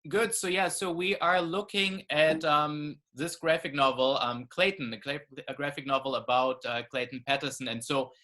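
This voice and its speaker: A middle-aged man from Germany talking conversationally as co-host on a video call, piecing his sentences together haltingly. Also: on the high side, steady tone, sharp tone. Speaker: co-host, author. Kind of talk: conversational talk in an online event.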